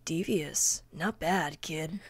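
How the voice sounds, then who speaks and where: A woman's voice: deep voice, slow pace, breathy edge, dry recording, exaggerated voice. A woman playing a stern, reserved, grave rebel fighter in a fantasy audio drama. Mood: wary.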